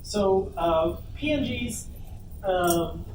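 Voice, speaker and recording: A man's voice: high voice, expressive, very slow, recorded from a distance. A man giving an informal workshop presentation, explaining things step by step with some hesitation. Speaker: workshop panelist. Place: convention panel room. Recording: echoey room from afar, noisy.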